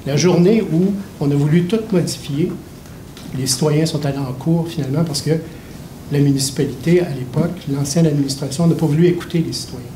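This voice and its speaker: Man, warm dark tone, fairly high pitch, echoey hall. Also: brisk. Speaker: citizen speaking at a public meeting. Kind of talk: unscripted public comment. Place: meeting hall. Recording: echoey hall, faint background noise.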